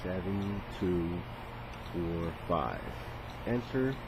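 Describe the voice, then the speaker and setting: A man's voice: sits low, lively intonation, very slow. A man casually demonstrating a radio as a hobbyist, hesitant and thinking aloud as he goes. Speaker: radio hobbyist presenting a video. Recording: noisy, radio static in background. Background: shortwave radio static and chatter.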